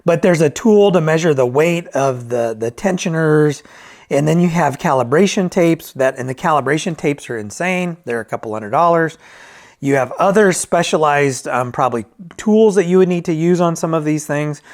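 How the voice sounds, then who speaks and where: A man's voice: fairly high pitch, moderate pace. A man giving a casual, unscripted product review as a vintage audio reviewer, candid and self-deprecating, thinking aloud in colloquial phrasing.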